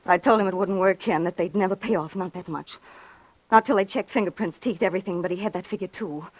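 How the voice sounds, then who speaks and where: A woman's voice: lowish voice, quick pace, dry recording, natural voice. An actress playing a frightened, guilty woman in an anxious, urgent 1940s radio melodrama style. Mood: bitter, confessing. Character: frightened, guilty woman confessing her brother's scheme.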